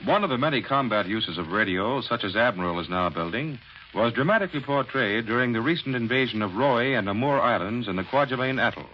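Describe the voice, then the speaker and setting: Brief: male American vintage radio announcer, lively pitch swings, forceful scripted delivery, noisy old broadcast sound. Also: a little fast, highs cut off. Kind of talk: 1940s scripted radio announcing and sponsor read. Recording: noisy, band-limited 1940s radio broadcast.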